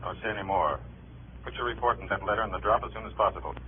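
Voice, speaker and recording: An actor playing a brisk, no-nonsense American FBI handler in a 1950s radio drama, terse and businesslike. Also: male voice, lowish voice, natural voice. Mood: terse, guarded. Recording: quiet narrow telephone line, faint noise.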